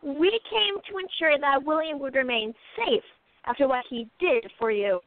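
A woman's voice: sits high, animated, normal speed, dry recording. A woman playing a bold, resolute sleuth who speaks firmly, on a tinny phone-like line. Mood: determined. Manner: firm, determined.

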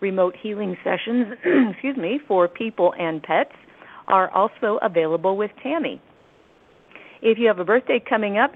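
A woman hosting a talk radio show, reading announcements and introducing guests, friendly and quick to laugh. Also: mid-range voice. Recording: tinny phone line.

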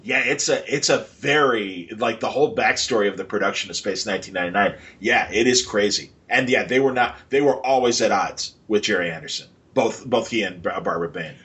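A man's voice: lowish voice, brisk, hardly any pauses, a bit bright, slightly rough. Casual male podcast host with a rambling delivery. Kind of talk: casual chat, unscripted sign-off to listeners.

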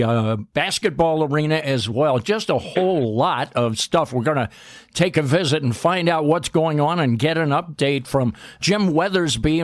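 A man's voice: a little high, dry recording, clean studio sound. A man hosting a radio show, upbeat and delivering scripted introductions.

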